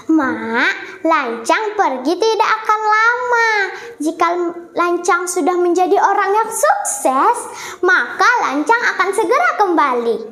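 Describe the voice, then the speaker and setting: A woman's voice: very high voice, sing-song, slow pace, pushed and bright, heavily acted. A young female storyteller voicing an ambitious, pleading young son from a poor village, in a roomy, echoing recording. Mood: earnest, pleading.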